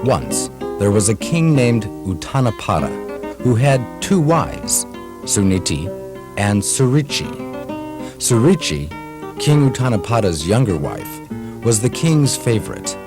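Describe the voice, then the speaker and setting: A man's voice: lowish voice, big pitch swings, measured pace. A man narrating a puppet show as a storyteller, reading a scripted tale over music.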